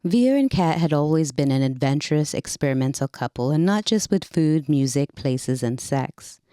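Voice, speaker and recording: Mellow low-voiced woman reading a story. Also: expressive, moderate pace, no echo, clean studio sound. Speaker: narrator. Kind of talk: dramatic reading of a short story.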